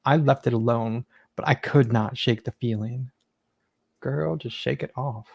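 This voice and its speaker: A man's voice: medium pitch, a little slow, gravelly, no room sound. A man giving a dramatic reading of a written account, with brief wry asides. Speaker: podcast co-host reading a document aloud.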